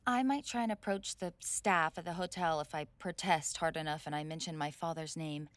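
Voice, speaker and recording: A woman's voice: low-pitched, normal speed, dry recording, lightly acted. A woman playing a spoiled rich college bully in a roleplay audio drama, indignant, snobbish and sweary, stammering when flustered. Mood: grudging, snobbish. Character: haughty, spoiled rich college girl bully, hot-tempered tsundere. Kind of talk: scripted roleplay audio drama, one side of a dialogue.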